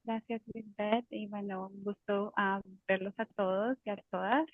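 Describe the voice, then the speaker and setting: A woman explains the topic to a webinar audience in a patient, teacherly way, pausing on fillers and restarting phrases. Her voice sits at a middle pitch and comes through a tinny, phone-like video call line with a very dry sound. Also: level tone, no room sound. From Colombia. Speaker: genetic counselor. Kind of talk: informative webinar talk.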